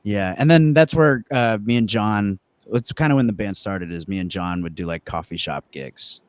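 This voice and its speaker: A young man with a fairly low voice, recorded dry and close. He talks as a musician being interviewed, casual and self-effacing, wandering through his recollections. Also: expressive, normal speed, clean tone, no room sound. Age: young adult. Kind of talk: casual chat, reminiscing.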